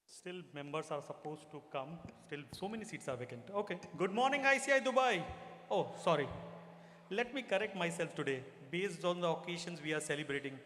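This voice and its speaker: A man's voice: fairly high pitch, a little fast, a bit bright, slight background noise. A man giving a chairman's address to a crowd, chatty and playful. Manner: chatty and playful, teasing the audience. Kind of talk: stage address at a community celebration. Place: large event hall. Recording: echoey hall with long reverb.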